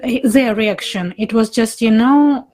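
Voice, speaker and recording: Woman chatting on a panel, really slow, highish voice, kinda halting and gushy. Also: a little mellow. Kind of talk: informal panel talk.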